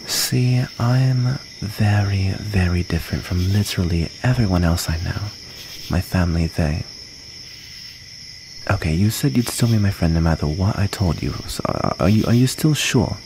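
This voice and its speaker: A man playing a shy, wounded, gentle young outcast vampire in an ASMR comfort roleplay, speaking in a halting, soft-hearted way. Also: deep voice, gravelly. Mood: hesitant, confiding. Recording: noisy, cricket ambience behind.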